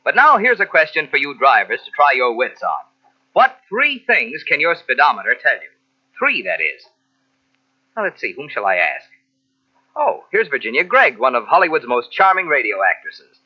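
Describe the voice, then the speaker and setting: A man working as an announcer, delivering a polished, rhetorical sponsor pitch in 1940s radio style. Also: wildly swooping pitch, moderate pace. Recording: muffled old radio tape.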